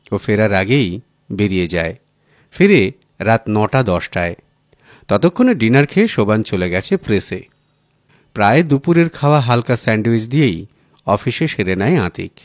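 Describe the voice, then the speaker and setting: A man's voice: fairly low pitch, no room sound, clean studio sound. A man reading a literary story aloud as a dramatised audiobook narration. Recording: music playing behind the voice. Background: music bed.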